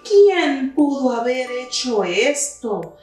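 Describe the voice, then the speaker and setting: Lady reading as a surprised night guard in a kids story, asking questions, slow, big room echo. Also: high-pitched, big pitch swings, darkish tone, soft background music, heavily acted. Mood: startled. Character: puzzled male night watchman in a store. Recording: very echoey room, distant mic.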